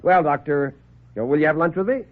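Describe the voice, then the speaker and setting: Man acting a high-voiced genial medic, tinny radio. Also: quick pace, lightly acted. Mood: sympathetic, chatty. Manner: affable, chatty. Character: genial, chatty male army medical officer. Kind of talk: 1950s radio horror acting. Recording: tinny vintage radio recording.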